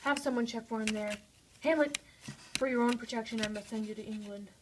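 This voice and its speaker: Scheming, guilty king for a puppet play, female performer, fairly high voice, slightly noisy recording. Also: fairly quick, close to an everyday voice. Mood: commanding. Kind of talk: amateur puppet-show dramatic reading.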